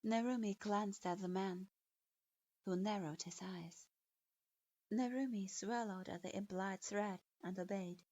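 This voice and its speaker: A woman reads a story aloud at an unhurried, deliberate pace. Her voice sits at a middle pitch, and the recording sounds a little dull and muffled. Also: a little mellow. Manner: slow, measured reading. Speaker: fanfiction reader. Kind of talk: storybook narration.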